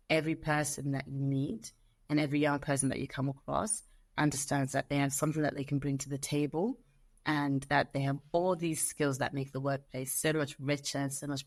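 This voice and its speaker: Low-voiced Zimbabwean woman storyteller. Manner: animated storyteller, acts out quoted voices. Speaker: guest, lawyer. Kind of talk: conversational interview.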